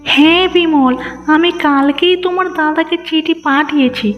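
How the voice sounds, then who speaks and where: A woman's voice: sits high, moderate pace, natural voice. A woman playing a caring, hospitable village sister-in-law in a cartoon, polite and homely. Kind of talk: cartoon moral-story dialogue. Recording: dull reverberant track, music behind.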